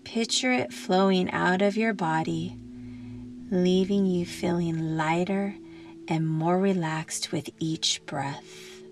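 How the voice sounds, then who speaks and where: Female meditation guide: lowish pitch, very slow pace, gentle and calming instruction with generous pauses. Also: soft background music. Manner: gentle, soothing, unhurried instruction with long pauses.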